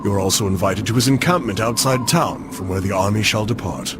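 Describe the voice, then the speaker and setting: An actor playing a deferential, formal herald in a video game, with an obsequious, ceremonious manner. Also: male voice, fairly low pitch, brisk, close to an everyday voice. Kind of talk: video game cutscene acting. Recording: loud music behind.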